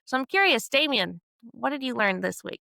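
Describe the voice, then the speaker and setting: A woman's voice: fairly high pitch, animated, normal speed, gravelly, pushed and bright, dry recording. A woman in her twenties from Canada, hosting a podcast in a bubbly, upbeat way. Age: 20s. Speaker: podcast host. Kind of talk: casual podcast chat.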